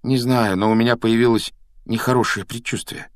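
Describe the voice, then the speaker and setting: Male narrator doing a young schoolboy, excitable, urgent, anxious, raised pitch, storybook character. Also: normal speed, no room sound, studio quality, lightly acted. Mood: worried. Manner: urgent, pleading. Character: excitable, anxious schoolboy, loyal best friend.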